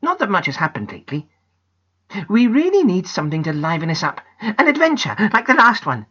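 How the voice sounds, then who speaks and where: A woman voicing an excitable young schoolgirl, high and pleading, in a slightly dull recording. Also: a little fast, breathy edge, exaggerated voice. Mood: eager excited.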